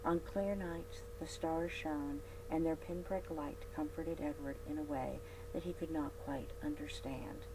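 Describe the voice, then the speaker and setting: A woman with a low voice reads a children's story aloud for a library. Her phrasing is expressive, with emphatic stresses on key words. A clear, steady hum and noise sit behind her. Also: measured pace, few pauses. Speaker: library read-aloud host. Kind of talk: scripted storybook narration.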